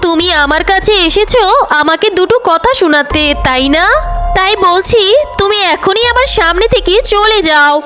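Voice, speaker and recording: A woman voicing a gentle, sorrowful young fox wife in a melodramatic cartoon fable, earnest and plaintive. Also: very high-pitched, moderate pace. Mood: offended, firm.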